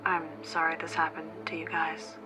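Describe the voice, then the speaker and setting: A woman's voice: low-pitched, monotone, normal speed, music in the background, natural voice, poor audio. A woman playing a sceptical college student podcaster in an audio drama, speaking in a dry, sarcastic, conversational way. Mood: sober, curt. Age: young adult. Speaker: college true crime podcaster.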